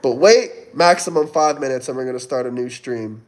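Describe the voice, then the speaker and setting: A man's voice: medium pitch, normal speed. A man in his twenties from Florida, hosting a casual livestream and answering viewer chat as he reads it out. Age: 20s. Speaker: livestream host.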